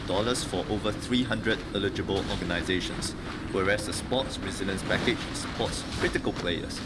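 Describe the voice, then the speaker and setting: A man's voice: low-pitched, moderate pace, heavy background noise. A man with a Singaporean accent giving a formal prepared speech as a member of parliament. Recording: game music over parliamentary speech audio. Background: loud music and voices from a video game.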